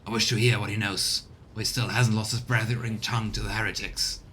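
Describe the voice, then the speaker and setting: Man playing a low-voiced, vengeful wolf warrior. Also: little pitch movement, a little fast, pushed and bright, slight background noise. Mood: resentful, demanding. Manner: demanding, blunt. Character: gruff, vengeful, bestial space wolf warrior. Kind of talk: video game character reading.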